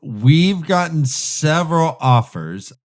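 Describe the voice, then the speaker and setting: A man's voice: fairly high pitch, sing-song, slow pace. Casual man co-hosting a book podcast. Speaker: co-host of a fantasy book re-read podcast.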